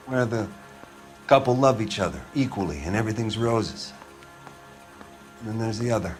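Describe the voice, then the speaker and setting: A man's voice: medium pitch, close to an everyday voice. Guy acting american husband under interrogation, defensive and self-pitying, music behind him, crime game. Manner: pleading, plain-spoken, self-pitying. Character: browbeaten husband, murder suspect under interrogation, defensive and wounded. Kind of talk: dramatic video game acting.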